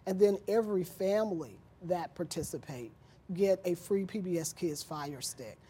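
A woman's voice: on the low side, moderate pace, warm tone, husky. A woman speaking as a nonprofit guest, explaining things conversationally in an interview.

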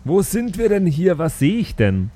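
A man's voice: sits high, very animated, moderate pace, dark tone. A man playing a teasing, exasperated adventurer in a fantasy tabletop audio game, with a mocking delivery.

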